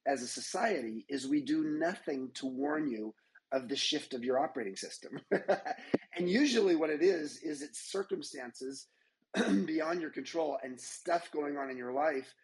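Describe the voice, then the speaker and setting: A man in a casual conversation, calmly explaining ideas in a reflective way. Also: fairly high pitch, moderate pace, low-quality recording.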